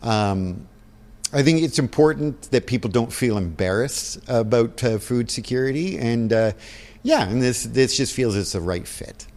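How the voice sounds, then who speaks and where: A man giving conversational interview answers, halting and repeating words as he thinks aloud. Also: medium pitch, lively intonation, a little mellow, long reverb tail. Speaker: interview guest, theatre production organizer.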